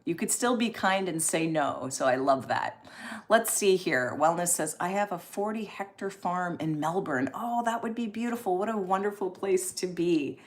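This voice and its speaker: Woman, mid-forties, lowish voice, encouraging chatty live-stream host, expressive reactions. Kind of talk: casual live chat, reading and answering viewer comments.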